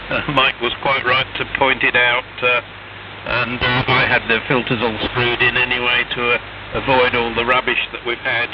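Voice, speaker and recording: A man operating amateur radio, heard through a band-limited shortwave signal thick with noise. His pitch is middling for a man. He talks casually and haltingly, explaining technical matters in short pieces. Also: completely flat delivery, muffled recording. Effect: shortwave radio transmission. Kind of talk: casual radio net chat. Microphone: radio transceiver microphone heard over HF radio.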